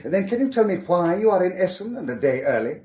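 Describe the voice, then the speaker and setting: An actor playing a suave, probing German police inspector in a radio drama, formal and precise, with a German accent. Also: male voice, sits high, sing-song, fairly quick, darkish tone, echoey room, muffled recording. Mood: probing, cool. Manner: formal, precise, elaborately polite.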